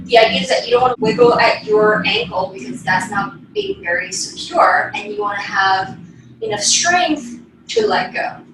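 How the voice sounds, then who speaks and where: A woman's voice: extremely high pitch, big pitch swings, moderate pace, creaky, noisy background. A woman teaching a dance class, calling out steps and counts in an encouraging, instructional way. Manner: encouraging, counting and cueing movements. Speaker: ballet teacher. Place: bedroom at home. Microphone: camera across the room. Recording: echoey room, distant mic, piano music. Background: piano music.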